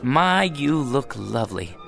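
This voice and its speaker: A mild, devoted husband who stammers and hesitates. His voice sits at an ordinary middle pitch. It is a radio drama. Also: sing-song, unhurried, creaky, fairly bright tone, faint music behind, exaggerated voice. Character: meek devoted husband of a film star.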